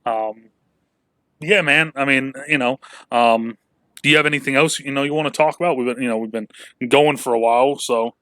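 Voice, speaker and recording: A man's voice: medium pitch, normal speed, pushed and bright, dry recording. A man in his twenties hosting a podcast, chatting casually with lots of fillers, restarts and swearing. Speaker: podcast host. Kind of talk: casual chat.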